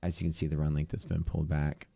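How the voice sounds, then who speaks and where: A Texan man talks through his trades in a deep, low voice, drawling and rambling casually as he goes. The sound is dry and close, with faint music playing behind him. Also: flat delivery, fast, gravelly, dark tone, no room sound. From Texas. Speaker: day trading streamer. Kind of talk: live trading stream commentary.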